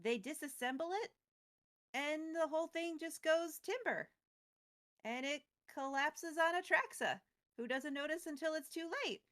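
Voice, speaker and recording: A woman's voice: high-pitched, a little slow, a bit bright. A woman co-hosting a recap show, retelling a story casually with playful, wry, chatty asides. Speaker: co-host of a lore recap show.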